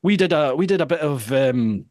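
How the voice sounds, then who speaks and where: A Scottish man in his forties hosting a podcast, telling stories in a casual, self-deprecating way with animated asides. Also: fairly high pitch, moderate pace, no room sound, studio quality. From Scotland. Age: 40s. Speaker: podcast host. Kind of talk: casual chat.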